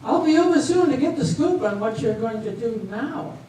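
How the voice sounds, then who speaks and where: A woman's voice: a little high, expressive, normal speed, warm tone, lightly acted. A woman in an amateur stage reading, playing a nosy local newspaper reporter with a wry, teasing manner. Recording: echoey hall, distant mic, faint noise.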